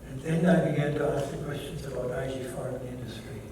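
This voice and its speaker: A man's voice: medium pitch, a little fast. Older German-accented man speaking in an echoey hall. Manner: reflective storytelling, quoting others, self-correcting. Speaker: keynote speaker. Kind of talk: personal testimony lecture. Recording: echoey hall, mic at a distance, background noise.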